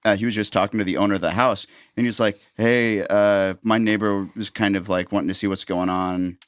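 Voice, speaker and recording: Low-voiced podcast host man, dry sound. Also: fairly quick, clear, resonant tone, no room sound. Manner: relaxed anecdotes, acts out quoted dialogue. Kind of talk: casual podcast chat.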